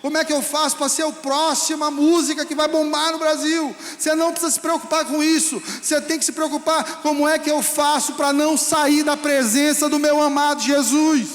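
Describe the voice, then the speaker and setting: A male pastor with a Brazilian Portuguese accent preaching a fervent sermon that rises to shouts. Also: very high-pitched, level tone, a little fast, hardly any pauses. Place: church hall. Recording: echoey hall with long reverb.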